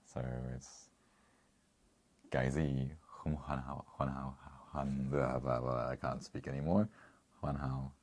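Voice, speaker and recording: Man, extremely low voice, dark tone, rambling casual chat, chuckles a lot. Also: little pitch movement, a little slow. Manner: rambling, chuckles often, restarts. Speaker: co-host. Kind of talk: casual livestream chat reading comments.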